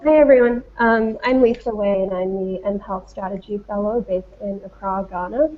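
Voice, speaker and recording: A woman's voice: medium pitch, moderate pace. A woman from China introducing herself as a fellow on a video call, fluent and businesslike. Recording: lo-fi call audio with faint background hum.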